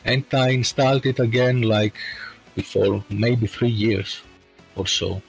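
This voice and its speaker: Greek guy, slow and halting, gravelly low voice, faint music behind. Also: steady tone, rough recording. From Greece. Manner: slow and hesitant, many fillers. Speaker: podcast guest, bot creator. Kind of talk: casual chat.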